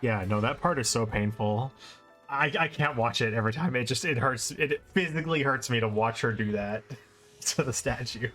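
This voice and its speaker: Bright-voiced man in casual gaming commentary. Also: medium pitch, normal speed, faint music behind. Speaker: speedrunner streamer playing and commenting.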